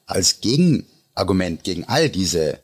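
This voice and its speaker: A low-voiced man with an Austrian accent talks like an expert guest in an interview. His voice is rough and husky, and he pauses to search for words while making his points emphatically. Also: big pitch swings. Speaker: interview guest, Japanologist and translator. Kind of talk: conversational expert explanation.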